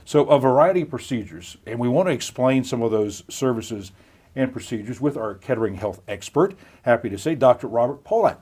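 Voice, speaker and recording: A man's voice: medium pitch, lively intonation, fairly quick, darkish tone, husky. A middle-aged man moderating a live online health chat in a folksy, chatty way. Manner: chatty, folksy, with frequent restarts and laughs. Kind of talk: live-streamed hosted interview.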